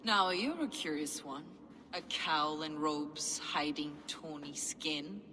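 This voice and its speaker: An actress playing an enigmatic, devout heretic woman in a video game, speaking in a measured, cryptic, archaic way. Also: low-pitched, sharp tone, full character voice, poor audio. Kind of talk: dramatic video game acting. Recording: clear ambient noise behind.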